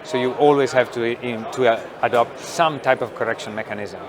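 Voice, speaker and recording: A middle-aged man, a company founder explaining technology in an interview, thoughtful with many pauses and fillers. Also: mid-range voice, noisy background. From Italy. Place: trade show booth studio.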